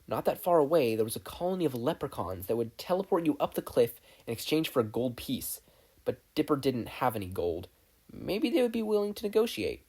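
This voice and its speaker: A podfic narrator reading a scripted story in an expressive way. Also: mid-range voice.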